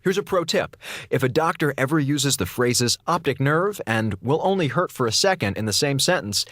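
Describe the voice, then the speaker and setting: An actor playing a flippant, sarcastic slacker communications officer on a space station in an audio drama. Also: male voice, medium pitch, lively intonation, moderate pace, a bit bright, dry recording, studio quality. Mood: wry, sarcastic.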